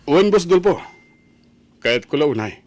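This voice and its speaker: Man acting a restless, stubborn son, swooping pitch. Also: big pitch swings, measured pace, no room sound. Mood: eager. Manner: insistent, emphatic. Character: stubborn, restless young son desperate for money. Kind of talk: Ilocano family radio drama acting.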